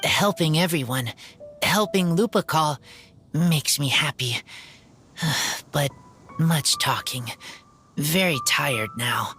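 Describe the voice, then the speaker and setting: A woman voicing a shy, earnest teenage boy raised by wolves, speaking in halting broken phrases in a video game. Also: deep voice, fairly bright tone, soft background music. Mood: content, tired.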